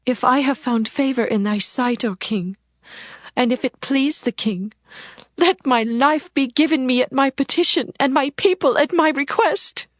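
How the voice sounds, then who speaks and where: A woman's voice: sits high, unhurried, dry recording, studio quality. An actress playing a young queen making an earnest, pleading request in a dramatized scripture reading.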